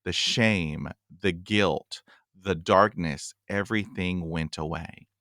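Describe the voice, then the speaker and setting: Man in his forties, lowish voice, stutters with blocks, earnest and repetitive, dry close sound. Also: slow, a bit bright, no room sound. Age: 40s. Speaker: podcast host. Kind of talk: casual podcast interview.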